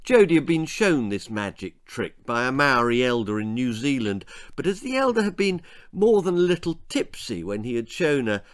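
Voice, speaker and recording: A man's voice: medium pitch, sing-song, moderate pace. A man reading a spooky, darkly comic children's story as its author, with dramatic, suspenseful delivery. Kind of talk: scripted children's horror-comedy storytelling.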